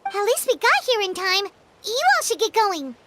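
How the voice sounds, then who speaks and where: A woman voicing a small, eager, caring fairy companion in a video game, with a squeaky childlike voice. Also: big pitch swings, normal speed, a little hiss or hum, full character voice. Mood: concerned. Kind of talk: English video game voice acting. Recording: loud game music behind.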